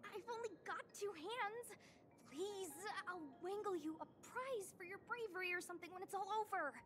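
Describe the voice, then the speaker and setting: A woman's voice: extremely high pitch, moderate pace. A woman voicing an eager, earnest young female knight in an anime-style game, chatty and spirited, with music behind her. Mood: chatty, upbeat.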